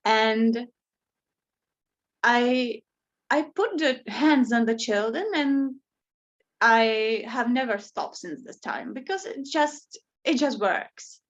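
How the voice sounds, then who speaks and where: A woman's voice: on the high side, slow, dull audio. A woman from the Czech Republic with a Czech accent, a yoga teacher, talking informally and emphatically to a group in English as a second language, repeating words for stress. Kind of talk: informal personal talk to a group.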